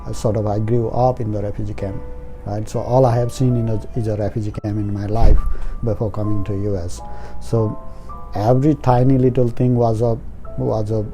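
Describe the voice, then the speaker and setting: A man speaking as a talks thoughtfully about adjusting to a new life. His tone is very dark and mellow, low and steady. Soft music plays behind him. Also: normal speed. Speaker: former refugee interviewee.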